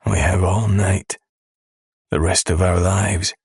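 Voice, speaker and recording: Woman doing a husky, commanding man. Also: flat delivery, a little mellow, no room sound, studio quality, heavily acted. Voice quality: husky, low rasp. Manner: slow, commanding murmur. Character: rough possessive adult man, lover.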